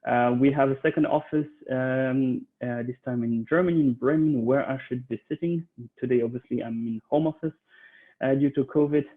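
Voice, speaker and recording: A man's voice: mid-range voice, steady tone, moderate pace. Hesitant man on a tinny line. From Switzerland. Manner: hesitant, many fillers and restarts. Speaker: recruiter. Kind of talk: online conference presentation. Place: home office. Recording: tinny phone-like audio.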